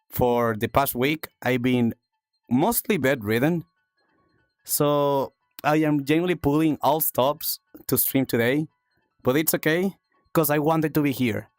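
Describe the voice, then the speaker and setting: A man's voice: mid-range voice, no echo, studio quality. A man from South America hosting a livestream, chatting casually with viewers, thanking them and repeating himself, while coughing from chest congestion. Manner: upbeat, repeats phrases, thanks viewers warmly. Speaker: streamer and talk show host.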